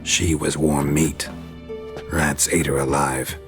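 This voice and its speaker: An actor playing a gruff, stoic monster hunter in a video game, speaking in terse, deadpan, gravelly lines. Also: male voice, rumbling low, slow pace. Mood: grim, matter-of-fact. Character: gruff, stoic male monster hunter, middle-aged, wary and blunt. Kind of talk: video game dialogue acting. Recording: loud game music behind.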